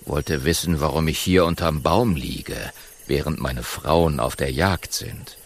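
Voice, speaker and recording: A man voicing a vain, pompous male lion king with a deep, slow, rumbling growl, in a children's audiobook. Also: exaggerated voice. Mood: smug. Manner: self-important, drawling.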